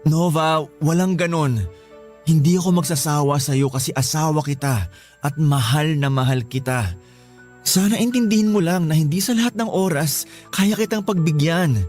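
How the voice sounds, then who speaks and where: An actor playing a tired, exasperated young Filipino husband who pleads reluctantly in a radio drama. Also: male voice, a little high, moderate pace, darkish tone, soft background music, natural voice. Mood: pleading, frustrated.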